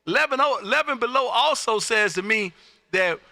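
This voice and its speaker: A loud, exuberant man hosting a casual amateur radio stream, chatting and calling, repeating himself for emphasis. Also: extremely high pitch, animated, fairly quick, creaky, no echo. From Texas. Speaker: amateur radio operator and live stream host.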